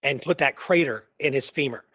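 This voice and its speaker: A man hosting a talk show, discussing history in an animated, informal, conversational way. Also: mid-range voice, normal speed, dry recording. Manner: animated, informal, peppers talk with "you know what I mean". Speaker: show host. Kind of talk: casual history discussion and storytelling.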